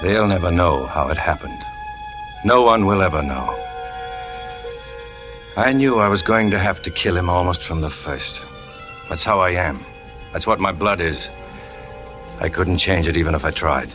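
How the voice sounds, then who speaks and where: An American actor playing a brooding, lovesick trapeze artist turned killer, terse and hard-boiled, in 1940s radio noir style. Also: male voice, deep voice, normal speed, long pauses, highs cut off, lightly acted. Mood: brooding confession. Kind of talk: 1940s radio noir acting, confessional narration. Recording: old 1940s radio recording with music.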